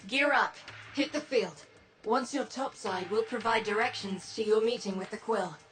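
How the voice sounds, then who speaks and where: A woman's voice: on the high side, normal speed, fairly bright tone, faint music behind, close to an everyday voice. A woman acting as a terse, resolute resistance squad leader in a video game, giving clipped, authoritative briefings. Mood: brisk.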